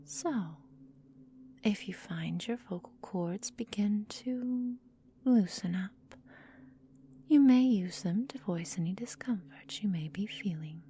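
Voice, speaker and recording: Slow whispering female vampire, acted by a woman. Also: mid-range voice, warm tone, slight background noise. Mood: menacing. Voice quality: breathy whisper. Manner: slow, teasing, menacing, drawn-out phrasing. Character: possessive, cruel, seductive female vampire mistress. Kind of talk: whispered ASMR roleplay.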